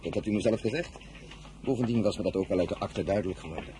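A man's voice: sits low, fast talker, warm tone, a little hiss or hum, natural voice. A man playing a polite, officious young government clerk in a radio drama, speaking formally and gallantly. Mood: friendly, courteous. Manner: formal, courteous.

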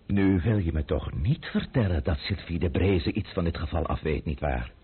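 An actor in a Dutch radio play, voicing a haughty, threatening French count with a pompous, sarcastic manner. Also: male voice, deep voice, moderate pace, breathy edge. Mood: impatient scorn. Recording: muffled phone-like old radio recording.

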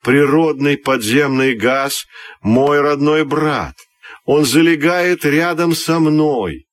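A man's voice: on the high side, slow, dry recording, voice pushed up. A man voicing proud, indignant crude oil, a female figure in a children's fairy tale, speaking firmly and emphatically. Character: loud, indignant crude oil, sister of gas.

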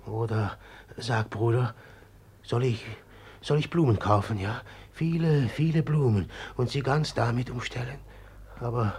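A man's voice: medium pitch, a little mellow, breathy edge. An actor in a 1950s radio drama playing a brooding, haunted young man who speaks fearfully and in whispers. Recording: old 1950s mono radio recording.

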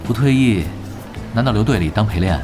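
An actor playing a relaxed, cocky veteran male gamer captain, dry and teasing in an audio drama. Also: fairly low pitch, a little fast, close to an everyday voice. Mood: calm. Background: background music.

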